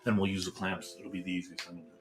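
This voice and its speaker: A man talking from off camera to a streamer, offering quick, matter-of-fact help with a fix. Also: low-pitched, little pitch movement, fairly bright tone. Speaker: partner helping off camera.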